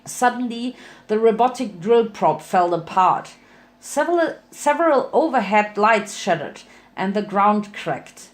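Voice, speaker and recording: A woman reads a story aloud in a dramatic narrating style. Her pitch sits in the middle for a woman, and she sometimes trips on a phrase and goes back over it. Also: animated, measured pace. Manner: stumbles over words and rereads phrases. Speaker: fan fiction reader.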